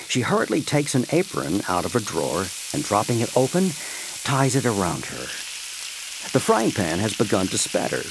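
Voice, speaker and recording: Husky, low-voiced man narrating theatrically. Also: dry recording. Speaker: narrator and presenter. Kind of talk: scripted audio drama narration.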